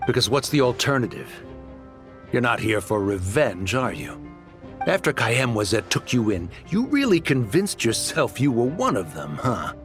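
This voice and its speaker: A man's voice: mid-range voice, very animated, normal speed, faint music behind, natural voice. A man playing a smug, greedy swindler turned socialite in a video game, with a taunting, theatrical delivery.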